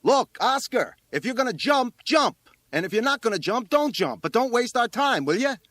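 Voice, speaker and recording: A man voicing a gruff, impatient city fireman in a brash, slangy shout for an audiobook story. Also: very high-pitched, very animated, normal speed, no echo, voice pushed up, full character voice.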